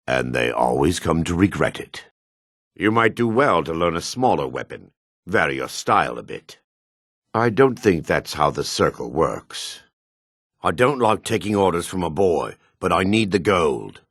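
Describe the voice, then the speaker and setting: An actor playing a proud, gruff dark elf warrior in a fantasy game, with a raspy voice and a haughty, clipped, sardonic manner. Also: male voice, deep voice, animated, a little slow, no room sound, clean studio sound, heavily acted. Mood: cocky, curt. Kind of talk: fantasy video game character dialogue.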